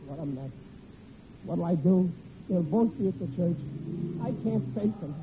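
An actor playing a hapless, evasive working-class American groom in 1940s radio comedy style, stammering and stalling with folksy grammar. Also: male voice, sits high, lively intonation, a little slow. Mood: despairing. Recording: muffled, faintly noisy old radio recording.

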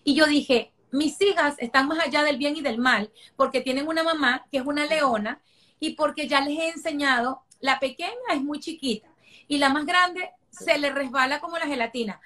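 A Venezuelan woman in her forties with a bright, high voice. She talks emphatically as an interview guest, stressing points by repeating them. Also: moderate pace. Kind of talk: conversational interview.

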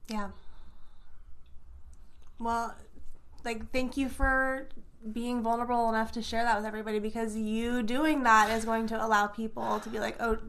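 Thoughtful, higher-pitched woman. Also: little pitch movement, moderate pace, pauses often, a bit bright. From United States. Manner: thoughtful, supportive, filler-heavy. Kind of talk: casual conversation.

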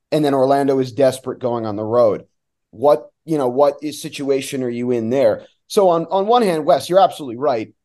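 A man who works as a sports announcer, giving casual, somewhat halting analysis as a guest on a talk show. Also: mid-range voice, warm tone.

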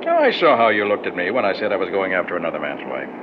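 A man's voice: low-pitched, very animated, racing. An American actor playing a proud, restless, worldly man in a radio drama, sardonic and theatrical. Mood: bitter, mocking. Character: proud, restless, worldly man, bitterly scornful of domestic comfort. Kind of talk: mid-century American radio drama acting. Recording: dull, noisy old radio recording.

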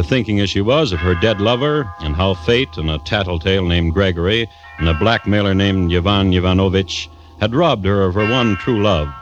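An actor playing a wry storyteller, narrating a classic radio drama in scripted style. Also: male voice, fairly low pitch, close to an everyday voice. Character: wry, unhurried male storyteller of a dark tale. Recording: dull old radio recording. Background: music.

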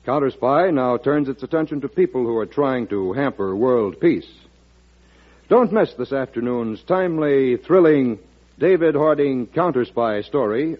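A man's voice: mid-range voice, slightly rough. A man working as a radio announcer, delivering a formal, emphatic 1940s promotional read. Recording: muffled old radio broadcast.

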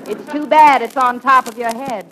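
A woman's voice: high-pitched, normal speed, natural voice. An actress playing a perky woman at a film studio in a 1940s radio comedy. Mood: breezy, teasing. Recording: noisy old radio recording, studio audience.

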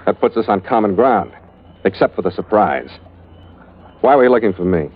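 Low-voiced hard-boiled sea captain, vintage radio. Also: male voice, moderate pace, husky, narrow, tinny audio. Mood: cold, cynical. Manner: terse, wry, deadpan. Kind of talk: 1940s radio noir narration and acting. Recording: band-limited vintage radio recording with music.

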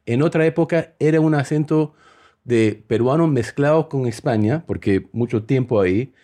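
A man in his forties, an English native speaker, talks with a mixed Peruvian, Spanish and Mexican-American Spanish accent. He jokes and tells stories with animation in a mid-pitched voice. The tone is warm and mellow. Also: normal speed. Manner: joking, animated storytelling. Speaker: podcast host. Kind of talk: casual chat.

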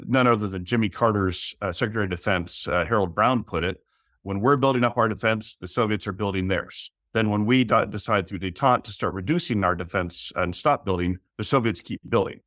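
A man answering interview questions about history, measured and full of small restarts. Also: fairly low pitch, a bit bright, no echo, studio quality. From United States. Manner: measured, with frequent restarts and fillers. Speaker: historian guest.

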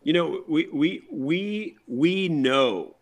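A man's voice: fairly high pitch, lively intonation, slow pace. A middle-aged man from a rock band chatting casually in an interview, enthusiastic and slangy, often repeating his words.

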